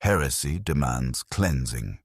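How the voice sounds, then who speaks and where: A man plays a cold tech priest interrogator from a dark sci-fi audio story, with an extremely deep, husky voice. He pronounces judgment formally and sternly, his pitch moving with zealous weight. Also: expressive, measured pace, no room sound, studio quality, exaggerated voice. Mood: stern, condemning.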